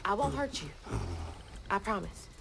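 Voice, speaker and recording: An actress playing a determined, tender young woman from a video game, with an expressive, colloquial delivery. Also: mid-range voice, a little slow, vocal fry, heavy background noise. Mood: gentle, playful. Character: Hazel, determined young woman searching for her mother, tender.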